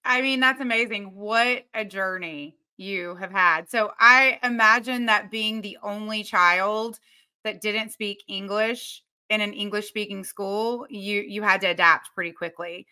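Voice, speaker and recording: A woman's voice: medium pitch, steady tone, a little slow, sharp tone, smooth. A woman in her thirties hosting a video-call interview, asking questions in an upbeat, encouraging way from a home office. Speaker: interview host.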